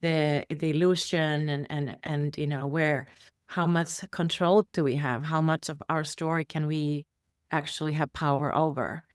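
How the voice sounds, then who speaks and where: Low-pitched woman from iceland, rambling with lots of ums, dry close sound. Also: steady tone, a little slow, dry recording. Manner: rambling, many fillers and restarts. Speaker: guest. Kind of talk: conversational interview storytelling.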